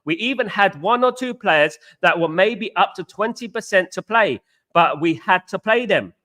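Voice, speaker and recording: A chatty young man from England hosts a casual livestream, greeting viewers by name and repeating his words. His voice sits high and sounds bright and forceful. He keeps a relaxed, talkative flow. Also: normal speed. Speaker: host.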